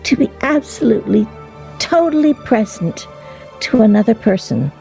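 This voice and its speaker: A woman's voice: on the high side, expressive, moderate pace, creaky, warm tone, soft background music. An actress playing an earnest, self-conscious woman in a radio play, with a hesitant way of talking.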